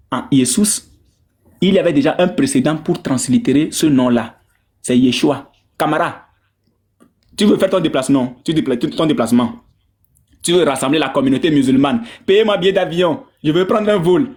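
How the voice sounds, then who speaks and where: Guy ranting about religion, kinda high voice, mocking and repetitive. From Togo. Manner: animated, mocking, repeats phrases for emphasis. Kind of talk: polemical religious commentary.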